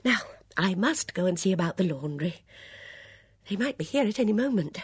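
Audiobook role: excitable, dithering older woman voiced by a female reader, high, breathy, very animated, close dry sound. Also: normal speed, no room sound. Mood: flustered, fussy. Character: fluttery, anxious, stubborn older woman companion. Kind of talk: audiobook character dialogue.

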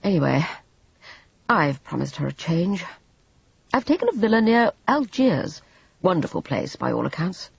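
A woman voicing a proud, jovial, slightly self-conscious married gentleman in a storybook reading. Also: on the low side, big pitch swings, normal speed, vocal fry, long pauses, breathy edge, exaggerated voice. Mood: pleased, self-conscious. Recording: roomy, slightly dull audiobook recording.

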